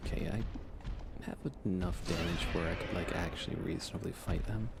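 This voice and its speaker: A man softly talking to himself while livestreaming a video game in a hushed, casual ASMR style, hesitant and musing. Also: deep voice, fairly even intonation, moderate pace, vocal fry, loud music behind the voice. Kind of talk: ASMR gaming commentary, hushed casual chat.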